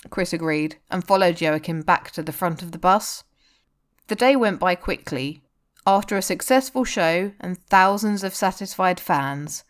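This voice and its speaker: A woman reading a scripted story aloud as its narrator. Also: on the low side. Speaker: fan fiction reader.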